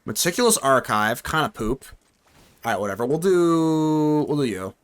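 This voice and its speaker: A man's voice: fairly high pitch, normal speed, clear, resonant tone. A young man giving casual live commentary on a card game, joking and talking to himself and his chat. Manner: animated, talks to himself and chat, jokey. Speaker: gaming streamer.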